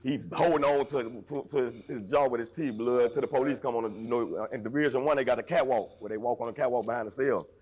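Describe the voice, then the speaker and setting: A man's voice: fast talker, few pauses, darkish tone. A young man, telling jail stories in aggressive, rambling casual talk. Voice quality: deep voice.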